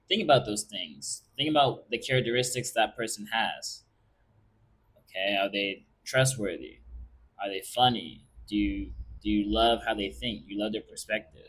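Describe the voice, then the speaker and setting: Male podcast host, lowish voice; earnest, encouraging self-help delivery in short direct phrases. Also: fairly bright tone, rough recording. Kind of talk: motivational self-help talk.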